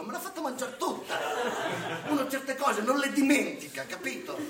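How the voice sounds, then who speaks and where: An actor playing a sardonic, boastful restaurant chef in a comic theatre monologue, talking colloquially and digressing, full of rhetorical check-ins. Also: male voice, high-pitched, bright, forceful tone, full character voice. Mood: excited, exclamatory. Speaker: stage actor in a satirical monologue. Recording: distant roomy live recording, faint noise.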